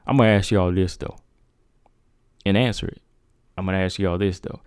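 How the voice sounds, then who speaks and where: A man's voice: deep voice, steady tone, normal speed, darkish tone, clear, resonant tone, no echo, studio quality. A man hosting a casual MMA livestream, asking his viewers rhetorical questions. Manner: talks straight to viewers, asks rhetorical questions. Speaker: MMA talk show host.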